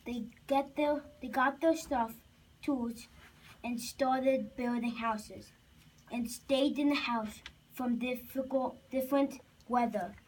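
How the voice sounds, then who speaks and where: A child narrating a homemade puppet show haltingly, with restarts. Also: high voice, fairly even intonation. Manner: halting, repeats and restarts phrases. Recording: distant, faintly noisy home recording.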